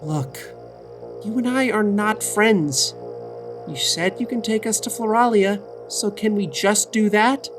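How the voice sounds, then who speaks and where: A woman playing a grumpy, guarded, sarcastic young traveler in an audio drama, deadpan and curt. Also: medium pitch, unhurried, music in the background, natural voice.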